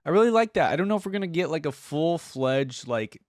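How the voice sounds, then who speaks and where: A man's voice: a little high, lively intonation, a little fast. A young man giving casual reaction commentary as a video host, informal and easygoing, thinking aloud as he goes. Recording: dry, faint music behind.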